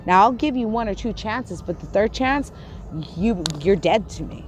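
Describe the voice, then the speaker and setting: Woman, stutter, lisp, angry livestream rant, swearing, mid-pitched voice, faint background noise. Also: animated, normal speed. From Texas. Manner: angry, swearing, repeats and restarts, confrontational. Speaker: YouTuber on a livestream. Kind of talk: heated livestream rant to chat.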